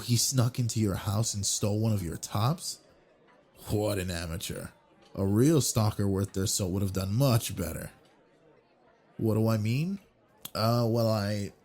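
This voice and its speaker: A man voicing an obsessive yandere man on a blind date, talking with quiet, smooth charm in a scripted audio roleplay, close on the mic. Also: fairly low pitch, full character voice. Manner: quiet brooding inner monologue, then smooth flirty charm.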